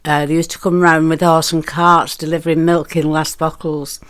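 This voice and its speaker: A woman's voice: sits low, flat delivery, moderate pace, clear, resonant tone. A woman in her eighties, a retired nurse, answering interview questions in a hesitant, reminiscing way. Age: 80s.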